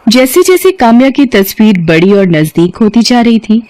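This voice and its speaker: A woman's voice: on the high side, sing-song, normal speed, mellow, a little hiss or hum. A woman narrating a scripted story as a storyteller.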